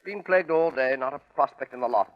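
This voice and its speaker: An actor playing an eccentric, chatty English gentleman with posh, fussily polite old-fashioned talk in 1940s radio style. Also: medium pitch, quick pace, lightly acted. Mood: affable, brisk. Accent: British. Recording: muffled vintage 1940s radio recording.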